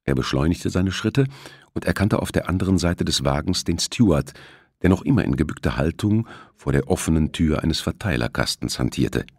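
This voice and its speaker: A man narrates a novel in a low, slightly rough voice. His intonation moves around a lot as he tells the scripted story. The recording is dry and close. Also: expressive, moderate pace, darkish tone, dry recording. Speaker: audiobook narrator.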